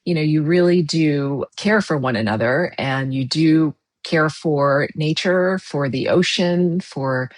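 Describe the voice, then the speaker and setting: A woman from Hawaii as a podcast guest, telling her life story quickly and thoughtfully. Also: deep voice. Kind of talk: interview storytelling.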